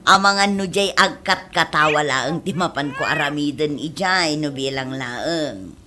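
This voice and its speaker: A woman's voice: sits low, measured pace. An actress playing an easily amused, teasing middle-aged village mother in a comedy radio drama, with an Ilocano accent. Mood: wry. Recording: noisy background with clicks.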